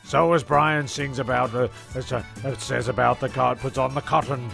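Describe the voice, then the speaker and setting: A man giving rambling, sardonic comic narration, halting and profane as he goes. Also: mid-range voice, fairly even intonation, creaky. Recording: loud music under the voice.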